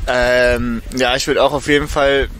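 A young man talks casually and hesitantly, at a slow pace. He is sitting in a park being interviewed, with faint noise around him. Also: mid-range voice, little pitch movement. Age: young adult. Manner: hesitant, offhand. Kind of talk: casual chat. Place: park picnic, outdoors.

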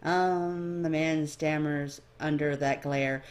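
A woman's voice: low voice, fairly even intonation, slow, a little mellow. A woman reading second-person fiction aloud as a narrator. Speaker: amateur fan fiction reader.

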